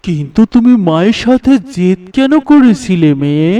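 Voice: medium pitch, expressive, moderate pace, distant mic, very noisy, lightly acted. An actor voicing a huge, mysterious angel in human form who speaks solemnly and preachily in a cartoon. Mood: solemn, admonishing. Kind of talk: cartoon voice acting.

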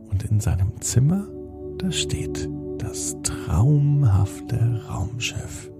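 A man narrating a bedtime story in a slow, soothing way, speaking directly to the child listening. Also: mid-range voice, creaky.

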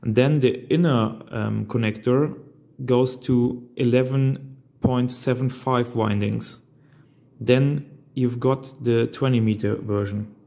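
A man in his thirties walks through a DIY build at a slow pace. His English is simple and non-native, given in halting steps at a medium pitch. The room around him adds a clear echo. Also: steady tone, dark tone. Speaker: amateur radio hobbyist presenter. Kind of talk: hands-on DIY tutorial. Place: hard-walled room indoors at a table. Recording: roomy, echoing indoor sound.

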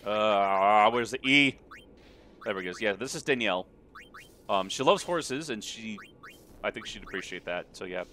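A man giving casual, crude and excitable commentary as he hosts a video game playthrough. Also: on the low side, moderate pace, long pauses, faint music behind. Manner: crude, sweary, excitable reactions. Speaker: let's play host. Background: video game audio.

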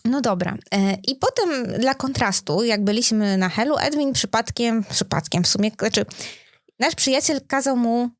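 A woman's voice: on the high side, moderate pace, no echo, studio quality. A woman co-hosting a podcast in casual conversation, chatty and informal, thinking aloud as she talks. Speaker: podcast co-host.

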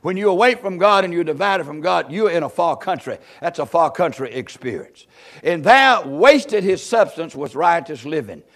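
A man preaching a sermon, expounding scripture with emphatic, repeated phrases and questions put to his listeners. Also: a little high. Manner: emphatic, repetitive cadences, rhetorical questions to listeners. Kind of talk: sermon, expounding Bible verses to a congregation.

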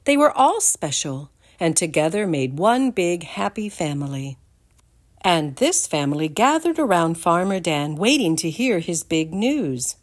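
An older woman giving an expressive read-aloud storytime for young children, in a deliberate, animated manner. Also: on the low side. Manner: deliberate, animated, addresses the listeners with questions.

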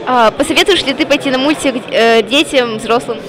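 A woman's voice: sits high, brisk. A woman working as a TV reporter, presenting and asking questions on location in a cinema foyer. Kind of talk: on-location vox-pop interviewing. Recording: busy public hall with crowd.

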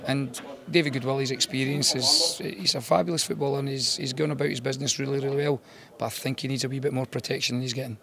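A man's voice: medium pitch, steady tone, quick pace, vocal fry, bright, forceful tone. A Scottish man in his fifties, a football manager giving a post-match interview in an earnest, repetitive way. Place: bare indoor room with painted brick walls.

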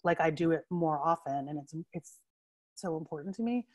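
A woman's voice: low voice, lively intonation, moderate pace. A woman in her forties chatting on a video call from home through wired earbuds, laughing often, with fillers and restarts. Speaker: interview guest, writer. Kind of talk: casual video call conversation. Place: home room with bookshelves.

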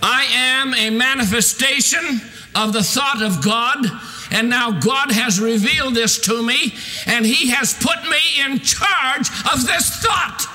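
High-pitched older preacher, bright and forceful. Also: male voice, slight background noise. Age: 60s. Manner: emphatic, declamatory delivery with drawn-out stressed phrases. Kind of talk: fervent sermon. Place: large church sanctuary, at a pulpit. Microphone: podium microphone. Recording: reverberant hall sound.

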